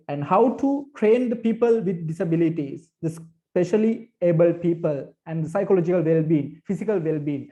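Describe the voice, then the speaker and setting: A man's voice: high voice, dark tone. A man moderating a webinar panel, thanking speakers and introducing the next one in a polite, formal way, with fillers. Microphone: video call. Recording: dull lo-fi video call audio.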